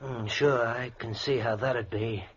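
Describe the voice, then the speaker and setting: A man's voice: medium pitch, steady tone, a little slow, husky. Brash slangy handyman, muffled radio. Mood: amused, scornful. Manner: slangy, folksy, pushy. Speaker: radio actor. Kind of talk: old-time radio drama acting. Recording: muffled, noisy old radio recording.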